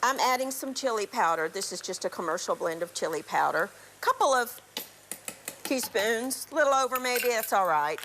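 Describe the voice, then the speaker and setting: A middle-aged woman, raspy from a cold, chattily walking viewers through a recipe on a live TV cooking show. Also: a little high, lively intonation, moderate pace, fairly bright tone, slight background noise, poor audio. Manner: chatty instructional, throat clearing. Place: TV studio kitchen.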